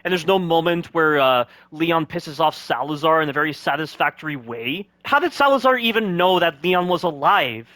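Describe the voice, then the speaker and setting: A male commentator picks apart game scenes in a critical, questioning way, firing off rhetorical questions. His voice sits a little high and comes across bright and forceful. It is recorded close and completely dry, with no room sound. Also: no echo. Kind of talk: critical gameplay commentary over cutscenes.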